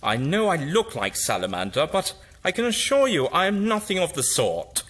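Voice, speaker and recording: An actor playing a wary, inquisitive time-travelling Doctor with dry irony and a halting, musing manner in an audio drama. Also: male voice, a little high, big pitch swings, fairly bright tone, slight background noise. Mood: earnest. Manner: halting, musing, with wry asides.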